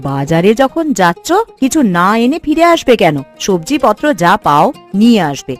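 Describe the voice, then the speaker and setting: A woman voicing an expressive, fretful village housewife in a cartoon, with a gossipy, nagging way of talking. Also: medium pitch, moderate pace, soft background music, lightly acted. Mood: bossy. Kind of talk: cartoon voice acting.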